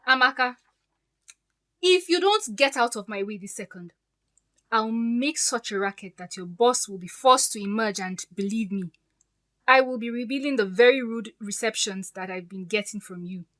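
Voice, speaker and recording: A woman's voice: a little high, moderate pace, a bit bright, natural voice. Woman playing a poised, cutting fiancée. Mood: stern, threatening. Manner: pointed, sarcastic politeness. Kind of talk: audio drama acting.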